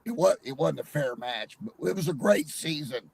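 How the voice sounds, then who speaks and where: A hoarse man with a cold calling into a live sports chat, rambling and hesitant with many fillers. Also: a little high, expressive, moderate pace, crowd noise. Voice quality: gravelly, husky. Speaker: caller on a live panel stream. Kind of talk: casual call-in chat.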